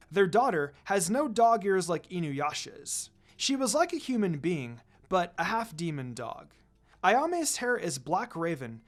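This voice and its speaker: Brief: man, voice on the high side, very lively intonation, casual amateur story reader. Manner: animated, repeats words for emphasis. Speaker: fan fiction reader. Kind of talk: reading fan fiction aloud, then casual commentary.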